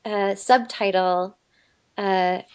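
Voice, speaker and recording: A woman's voice: medium pitch, steady tone, extremely slow, darkish tone, clean tone. A woman being interviewed as a guest, talking in a thoughtful, friendly way and laughing often. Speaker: voice actress guest. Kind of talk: casual interview chat.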